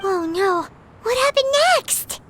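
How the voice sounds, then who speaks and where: A woman voicing a small, excitable fairy girl companion in a video game, squeaky, childlike and exclamatory. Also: slow pace, full character voice. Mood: alarmed, eager. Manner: exclamatory, refers to self by name.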